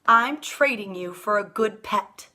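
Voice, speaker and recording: A woman voicing a reluctant, grumbling little boy in a picture book, with emphatic, drawn-out storybook delivery. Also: medium pitch, sharp tone. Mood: firm, fed up.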